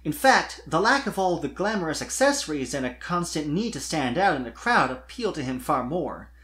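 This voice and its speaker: Expressive storyteller with very lively intonation. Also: medium pitch, brisk. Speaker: fan fiction narrator.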